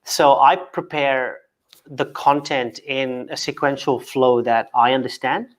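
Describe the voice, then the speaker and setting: A man's voice: mid-range voice, fairly even intonation, unhurried. A man who grew up in Kenya and speaks English as a second language, a guest on a video call chat, often repeating words and restarting with a stutter. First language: not English. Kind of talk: casual video call interview.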